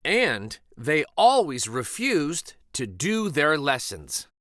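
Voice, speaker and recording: A man reading a children's story aloud as an expressive narrator. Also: a little high, slow, dry recording, studio quality.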